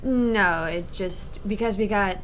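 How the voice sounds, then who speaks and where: A woman's voice: mid-range voice, slow, a bit bright, soft background music. A woman co-hosting a gaming stream, chatting casually and sometimes reading out lists of words. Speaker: game streamer co-host. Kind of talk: casual chat while reading word lists aloud during a gaming stream.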